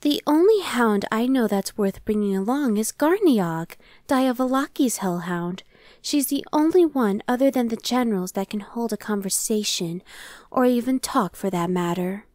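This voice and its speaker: Female demon searcher, played by a woman: impatient, dismissive, conversational ASMR roleplay, slightly high pitch, very dry sound. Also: expressive, normal speed, darkish tone, no echo, close to an everyday voice. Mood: sardonic. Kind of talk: ASMR roleplay, one-sided dialogue.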